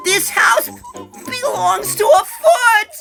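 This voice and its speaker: A woman's voice: very high voice, lively intonation, takes it slowly, gravelly, voice pushed up, full character voice. A woman voicing a bossy, fussy female bird in a storybook, with an emphatic, complaining way of talking. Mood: indignant. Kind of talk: storybook character voice. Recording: music behind the voice.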